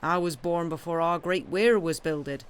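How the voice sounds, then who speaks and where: A woman's voice: lowish voice, a little slow, clear, resonant tone, close to an everyday voice. A woman voicing an opinionated old countryman, a milkman in a storybook, speaking emphatic rustic dialect.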